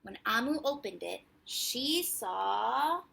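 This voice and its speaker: A woman storyteller reading a picture book aloud expressively, drawing out words and dropping into hushed asides to the listener. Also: on the high side, lively intonation, slow pace, creaky, slightly rough. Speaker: children's storyteller.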